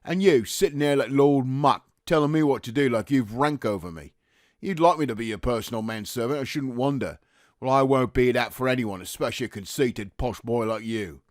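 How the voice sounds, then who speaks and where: A man's voice: mid-range voice, fairly quick, no room sound, lightly acted. A man performing a bitter young Cockney private in a wartime radio play. He is blunt and mocking, with a chip on his shoulder. His voice is mid-range and sounds close and dry, with no room on it. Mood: resentful, mocking. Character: resentful working-class Cockney private, aged nineteen. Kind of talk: audio drama acting.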